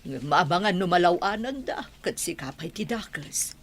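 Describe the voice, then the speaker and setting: A woman acting a stern, snobbish middle-aged mother in a radio drama, with an Ilocano Filipino accent and a husky voice. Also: fairly low pitch, lively intonation, normal speed. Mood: scheming.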